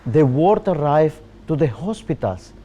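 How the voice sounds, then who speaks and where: A man's voice: a little high, sing-song, a little slow, mellow, slight background noise. A man, a chef guiding a visitor on location, speaking earnestly with restarts. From Colombia. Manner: earnest, with frequent restarts and fillers. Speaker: chef and local guide. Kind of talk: on-location interview, explaining. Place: bakery, on location.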